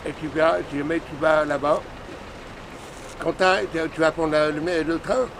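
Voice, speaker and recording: Middle-aged man from Lyon, slangy and insistent, higher-pitched voice, casual chat over loud street noise. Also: steady tone, normal speed. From Lyon, France. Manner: slangy, emphatic, repeats himself. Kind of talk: casual animated chat, giving travel tips. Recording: very noisy outdoor street recording, traffic rumble.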